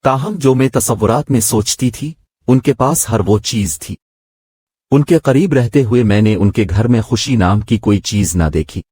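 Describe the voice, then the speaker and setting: A man's voice: mid-range voice, a little slow, mellow, slightly rough, no room sound, clean studio sound. A man reading a scripted moral story as its narrator.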